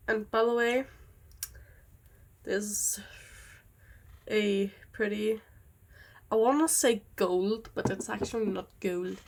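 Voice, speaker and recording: Young woman, slow unhurried pace, rambling casual vlog chat, easily sidetracked. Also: medium pitch. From Denmark. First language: Danish. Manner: rambling, easily distracted, with many fillers. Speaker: vlogger. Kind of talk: casual chat while doing nails.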